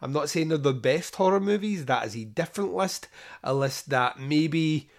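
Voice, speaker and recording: A man's voice: fairly high pitch, expressive, moderate pace. A man hosting a podcast, talking casually and emphatically in a rambling solo monologue. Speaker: podcast host. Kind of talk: casual solo talk introducing a film countdown.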